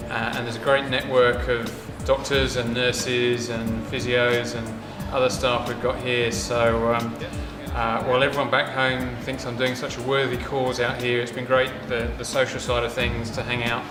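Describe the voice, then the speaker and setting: A British man speaks as a volunteer doctor in an interview. His pitch barely moves. The sound is echoey and a little off the mic, with music behind him. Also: little pitch movement, normal speed, few pauses, recorded from a distance. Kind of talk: interview talk. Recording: roomy, off-mic, music underneath.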